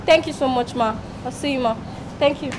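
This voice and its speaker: A young Nigerian woman, played by an actress, speaks in a very high voice as an earnest daughter in a screen drama. There is some noise on the location sound. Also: fairly quick, natural voice. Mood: polite, grateful. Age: young adult. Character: polite young job seeker, distraught tearful daughter. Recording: noisy location film sound.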